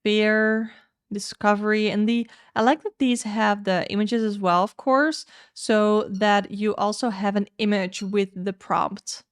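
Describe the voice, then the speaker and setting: A woman's voice: medium pitch, steady tone, measured pace, clear, resonant tone, no room sound, clean studio sound. Chatty Dutch-native woman reviewing tarot decks. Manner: candid, chatty, frank opinions. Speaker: tarot YouTuber. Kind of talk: casual deck review.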